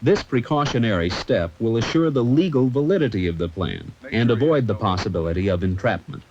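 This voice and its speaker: A man's voice: on the low side, animated, fairly quick, a little mellow, slight background noise. A man with an American accent delivering scripted, formal, authoritative narration for an old military training film. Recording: dull 1970s film soundtrack with music.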